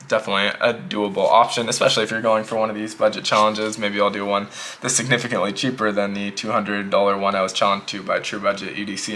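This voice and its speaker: Guy reviewing edc gear, kinda hoarse cause he's sick, sharp bright voice, laid back and rambly. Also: lowish voice, slight background noise. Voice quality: slightly hoarse. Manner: relaxed, rambling, repeats himself. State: losing his voice, a bit sick. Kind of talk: casual product review.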